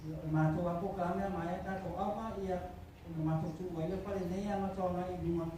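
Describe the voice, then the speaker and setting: A man giving a halting, measured ceremonial speech. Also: high-pitched, fairly even intonation. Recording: echoey, distant, noisy hall.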